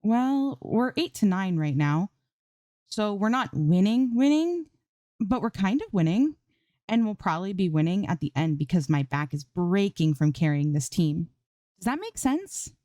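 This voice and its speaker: A woman's voice: medium pitch, sing-song, measured pace, warm tone, no echo, studio quality, voice pushed up. A woman voicing a bubbly, playful young gamer and pop idol in a story reading, with chirpy, whiny banter. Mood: playful rambling. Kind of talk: storybook character dialogue in audiobook reading.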